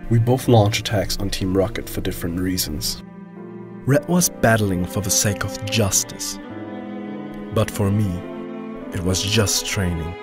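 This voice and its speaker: A cold, proud young rival trainer, played by a man in an anime-style dub. His voice is low, and he talks in a stern, dismissive way that prizes strength above all. Music plays behind him. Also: close to an everyday voice. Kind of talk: dramatic anime-style voice acting.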